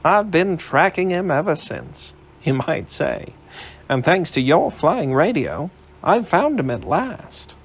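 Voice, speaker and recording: Brief: brash young newsman, raised and husky, as a narrator puts him on. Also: fairly high pitch, sing-song, slow pace, pitched above the performer's own voice, exaggerated voice. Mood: cocky. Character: brash young male newspaper reporter.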